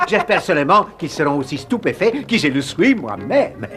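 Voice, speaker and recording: An actor voicing an excitable, scatterbrained male explorer who thinks himself a genius, in a theatrical cartoon manner. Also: mid-range voice, measured pace, faint music behind, heavily acted. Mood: excited, scheming. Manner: theatrical, swooping from muttering to exclaiming. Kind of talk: animated cartoon dub.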